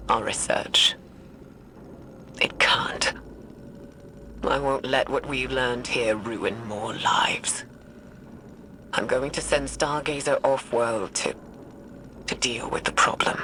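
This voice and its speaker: An actress playing an aging, guilt-ridden woman scientist recording a weary, halting, breathy audio log for a video game. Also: very deep voice, pushed and bright, a little hiss or hum, exaggerated voice. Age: older. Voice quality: breathy, whispery. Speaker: researcher recording audio logs. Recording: music behind the voice.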